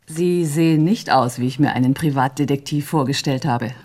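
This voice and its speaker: An actress playing a composed, forthright executive secretary in a radio drama, with a poised, teasing manner. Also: female voice, extremely low pitch, moderate pace, darkish tone.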